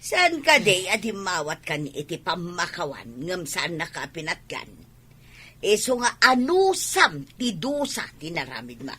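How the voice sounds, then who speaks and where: A woman with an Ilocano accent playing an expressive, emphatic village woman in a radio comedy drama. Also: lowish voice, unhurried, husky, full character voice. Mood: scolding.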